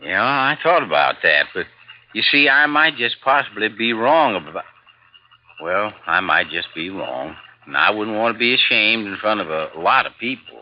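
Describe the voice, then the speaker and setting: A man's voice: on the low side, expressive, moderate pace, lightly acted. An actor playing a folksy, stubborn old desert fisherman in a 1950s radio drama, drawling and hesitant, heard through a dull old recording.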